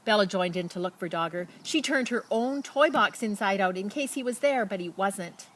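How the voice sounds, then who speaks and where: A woman with a medium-pitched voice reads a picture book for children. She tells it expressively, leaning on key words and pausing for drama, and slips in casual comments as she goes. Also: a little hiss or hum. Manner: expressive, dramatic emphasis and pauses. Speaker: storybook reader.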